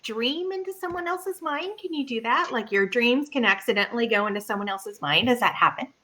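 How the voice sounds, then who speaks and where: A woman's voice: a little high, lively intonation, fairly quick, pushed and bright. A woman playing an online tabletop game, chatting casually on a group video call. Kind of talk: casual chat.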